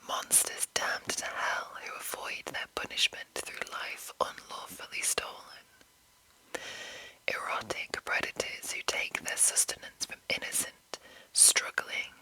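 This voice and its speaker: A woman whispering in a soft, breathy, unvoiced voice as an ASMR host, rambling gently and reading passages aloud. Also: a little slow, rough recording. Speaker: ASMR host introducing a roleplaying game.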